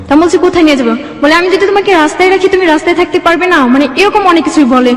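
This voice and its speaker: Young bangladeshi woman telling her life story, really high voice, echoey room with long reverb. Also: very fast. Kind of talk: personal storytelling in an interview.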